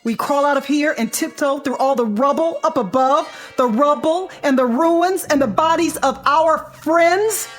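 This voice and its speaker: A frightened American wife and mother in a radio drama. Her high voice falters and frets with despair. Faint music sits underneath. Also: a little slow, hardly any pauses. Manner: fretful, faltering.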